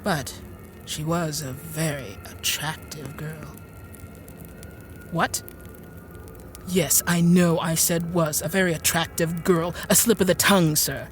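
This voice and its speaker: A woman's voice: sits low, steady tone, slow, long pauses, over music. An actress playing a prim, genteel woman in an audio drama, confiding her story in a hesitant, rambling, over-polite way. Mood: confiding, fussy. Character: prim, fussy, genteel woman recounting a dark tale. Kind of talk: audio drama acting, confiding first-person narration.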